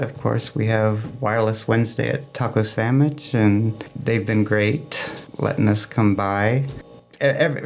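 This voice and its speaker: A man's voice: medium pitch, gravelly, a little mellow. A man talking casually as an interview guest for a hobby club, chatty and anecdotal in a rambling way. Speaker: amateur radio club representative, interview guest.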